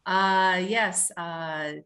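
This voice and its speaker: Woman, bright and forceful tone, chatty and friendly, on a video call. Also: lowish voice, steady tone, extremely slow. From California. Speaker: tourism professional. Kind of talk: casual chat.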